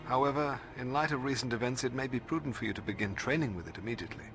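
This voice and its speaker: An actor playing a bookish, middle-aged British librarian mentor in a video game, formal and precise, hesitating when puzzled. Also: male voice, mid-range voice, racing, music in the background, close to an everyday voice. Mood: earnest, cautioning.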